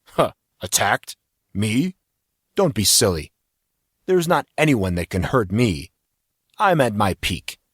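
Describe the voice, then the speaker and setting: A man performs a smug, powerful businessman villain from an audio drama. The voice is heavy and husky, with a dismissive, condescending way of talking. It is recorded dry and close. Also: lowish voice, sing-song, slow, long pauses, no echo, heavily acted. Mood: scoffing, boastful.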